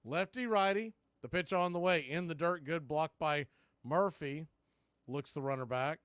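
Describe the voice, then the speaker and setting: A man calling a baseball game live as a play-by-play announcer, mixing clipped pitch calls with folksy, casual asides. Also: moderate pace, a bit bright, smooth, dry recording, studio quality. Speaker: baseball play-by-play announcer for a fan radio stream.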